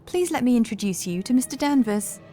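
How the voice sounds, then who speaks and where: A woman's voice: on the high side, lively intonation, fairly quick, dark tone, faint music behind, natural voice. A woman playing a deranged housekeeper in a horror audiobook, formal and scolding, with wrath under the politeness. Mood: prim, insistent. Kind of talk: horror audiobook character acting.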